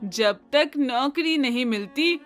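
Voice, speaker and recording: A woman voicing a bossy, proud older village mother in an animated moral story, speaking emphatically. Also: sits high, slow pace, soft background music. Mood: nagging. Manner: emphatic, scolding, matter-of-fact village talk.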